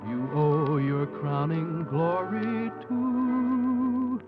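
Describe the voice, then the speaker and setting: A man singing a slow advertising jingle on a 1950s radio broadcast. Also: sits high, level tone. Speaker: jingle singer. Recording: dull 1950s radio recording with music.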